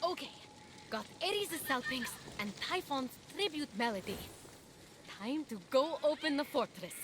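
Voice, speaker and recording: A woman's voice: high-pitched, animated, measured pace, background noise. A woman playing a plucky, earnest young adventurer who encourages others in a video game. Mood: determined. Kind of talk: video game character acting.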